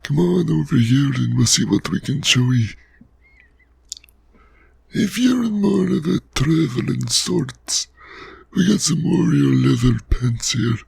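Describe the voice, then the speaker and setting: A man voicing a leering lowlife male tailor in an ASMR audio drama, with sleazy, coaxing sales patter. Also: lively intonation, slow, gravelly, a little mellow, breathy edge, no echo, exaggerated voice.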